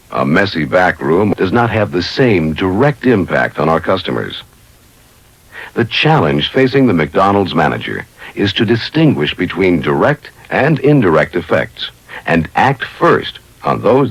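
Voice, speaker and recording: A man's voice: deep voice, husky, dry recording, rough recording. A man reading scripted instructional narration in a formal, methodical way, as the narrator of a training video.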